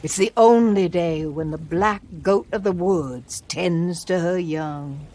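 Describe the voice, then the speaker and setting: A woman's voice: fairly low pitch, slow, dark tone, a little hiss or hum. An actress playing a motherly, cryptic cult woman who sounds reassuring, in a video game. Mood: soothing, cryptic. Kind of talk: video game cutscene dialogue.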